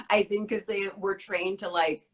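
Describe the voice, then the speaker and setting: A young woman chatting as an interview guest, her voice strained and a little worn because she is losing it. She talks fast and bubbly, with plenty of filler words. Her pitch sits in the middle. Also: steady tone. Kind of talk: casual interview chat.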